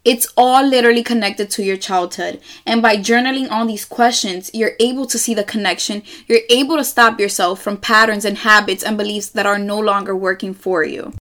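A young woman from the Dominican Republic with a Dominican accent, reading her own journal entries aloud in a soft, reflective, earnest way. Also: medium pitch, steady tone, fairly quick, hardly any pauses. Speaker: journaling vlogger.

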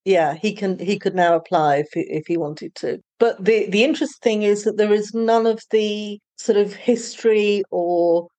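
British lady just chatting, telling stories, mellow relaxed voice. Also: mid-range voice, moderate pace, few pauses. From Britain. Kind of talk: casual chat, telling anecdotes.

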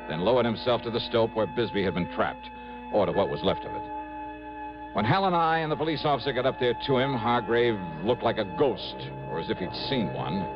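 Composed male detective, lowish and expressive, vintage radio drama, muffled with music. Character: composed male insurance investigator narrating his case. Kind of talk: scripted first-person narration, 1950s-60s radio drama.

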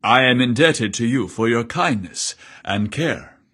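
A sultry, deep-voiced merman, as a narrator puts him on, courteous and eloquent in a storybook tale. His tone sits in an ordinary middle register with a husky, slightly breathy edge. He speaks with gracious formality. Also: lively intonation, a little slow, fairly bright tone.

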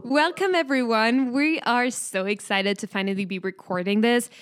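A woman's voice: a little high, moderate pace, clear, resonant tone. A young woman from France with a French accent, co-hosting a casual podcast chat, friendly, with frequent laughs and fillers. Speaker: podcast co-host.

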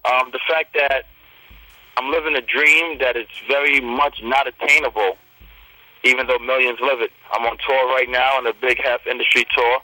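Confident bright-voiced man from Trinidad. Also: mid-range voice, steady tone, moderate pace, no echo, poor audio. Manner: confident, self-promoting. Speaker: rapper guest. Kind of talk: casual interview talk.

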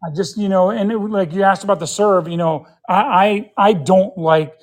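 A man's voice: sits high, moderate pace. Earnest man in his fifties, thinking aloud. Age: 50s. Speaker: interview guest, pickleball player. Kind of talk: casual interview chat.

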